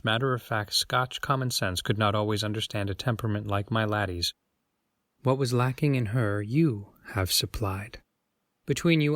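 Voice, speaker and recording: A man playing a courteous middle-aged father in a dramatized audiobook, formal and measured. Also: lowish voice, no echo, lightly acted. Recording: dry voice with music under it.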